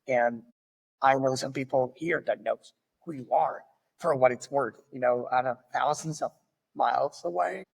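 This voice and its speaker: Gravelly Argentine-accented male podcast host. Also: medium pitch, long reverb tail, rough recording. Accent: Argentine Spanish. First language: Spanish. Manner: friendly, halting with small restarts. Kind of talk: casual interview.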